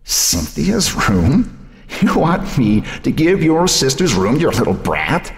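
A man's voice: medium pitch, very animated, takes it slowly, reverberant, in a large hall, pitched above the performer's own voice. A man voicing a bitter, harsh old grandmother who scolds her daughter with contempt. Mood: scornful.

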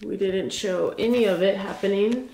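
A woman's voice: medium pitch, moderate pace, vocal fry, background noise. A young adult woman hosting a vlog, chatting playfully on a handheld camera. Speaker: vlog host. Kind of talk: casual vlog chat.

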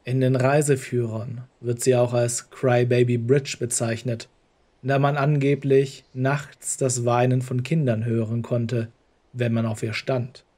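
A man's voice: medium pitch, level tone, unhurried, mellow. A man reading a scripted story as an audiobook narrator.

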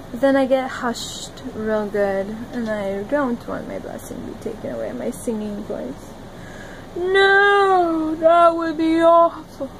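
Young woman vlogger, high and unhurried, dreamy and giggly, filmed on a phone in a small room. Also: noisy background. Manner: dreamy, giggly, drifting between loud bursts and soft murmurs. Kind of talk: rambling monologue to camera. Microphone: phone camera at arm's length.

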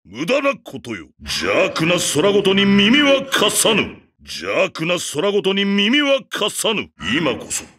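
An actor playing a ruthless, arrogant old martial-arts master in a fighting game, barking taunts and battle cries in a gravelly, husky voice. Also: male voice, wildly swooping pitch, slow pace, hardly any pauses, sharp tone, full character voice. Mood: stern. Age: older. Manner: barks short commands and taunts, booming laugh. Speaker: video game character.

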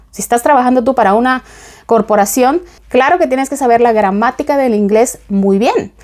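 A woman, giving casual advice to camera in a small home room on a lapel mic, lively and gesturing as she talks. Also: a little high. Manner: lively, gesturing with both hands, talks straight to the viewer. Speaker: vlogger.

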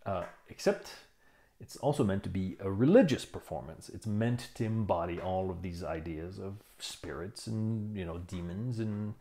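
A man in his fifties giving a casual explanation to camera, thoughtful and halting, stressing key words. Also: sits low, dark tone. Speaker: tai chi teacher reviewing a book. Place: small room indoors. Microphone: lapel mic.

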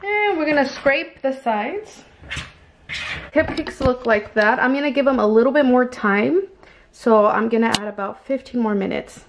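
A young woman with a higher-pitched voice talks viewers through kitchen steps in a loose, chatty manner. She is informal and unscripted, like someone vlogging at home. The audio has clear background noise and clicks from the kitchen. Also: lively intonation, moderate pace. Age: young adult. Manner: informal, chatty, occasionally crude. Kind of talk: casual instructional chat to viewers. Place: small home kitchen, indoors.

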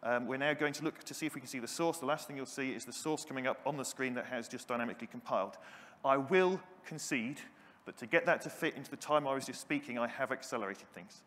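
A man's voice: mid-range voice, quick pace, a bit bright. A middle-aged man giving an enthusiastic, self-deprecating technical keynote on a conference stage, with frequent ums. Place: large conference hall stage. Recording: hall with long reverb.

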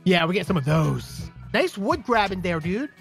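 A woman's voice: lowish voice, big pitch swings, moderate pace, vocal fry, faint music behind. A woman co-playing in a gaming video, talking in a casual, jokey way with fellow players. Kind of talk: casual, jokey gameplay chat.

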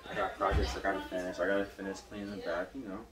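A man's voice: low-pitched, completely flat delivery, racing, music in the background. A young man in a bedroom, talking in a laid-back way about having work to do. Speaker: cousin. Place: bedroom indoors. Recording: echoey room, far from mic.

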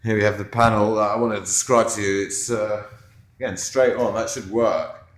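A man with a deep, fairly monotone voice gives a relaxed, offhand tour of his wall mural. He points things out casually as he walks with the camera. The office lunchroom around him rings with a noticeable echo. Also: normal speed. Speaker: muralist giving a walkthrough. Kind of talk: casual narrated video tour.

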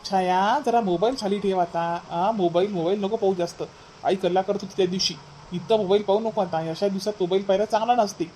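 A man voicing a cautious, gently bossy husband in a cartoon family comedy, giving advice. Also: high voice, steady tone, quick pace, soft background music, close to an everyday voice. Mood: cautioning. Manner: advising, cautioning.

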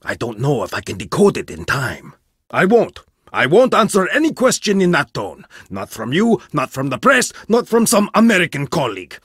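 A man's voice: fairly high pitch, huge pitch swings, normal speed, a bit bright, slightly rough, no echo, exaggerated voice. An actor playing an arrogant, condescending male archaeologist in a video game, lecturing theatrically with a haughty manner. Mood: imperious. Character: arrogant egomaniac male archaeologist, middle-aged. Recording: dry studio booth.

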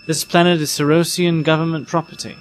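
A man's voice: fairly high pitch, level tone, fairly quick, soft background music, close to an everyday voice. A man playing a sceptical, reasoning spaceship crewman in an audio drama, questioning and matter-of-fact.

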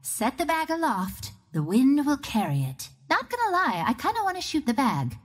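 High-voiced, cocky young wind archer. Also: female voice, huge pitch swings, normal speed. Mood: playful, confident. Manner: confident, teasing, playful. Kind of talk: video game character voice lines.

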